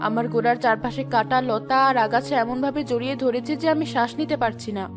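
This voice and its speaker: A woman voicing a gentle, wise forest fairy in a cartoon fairy tale, speaking earnestly. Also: fairly high pitch, steady tone, quick pace, over music, natural voice. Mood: anguished.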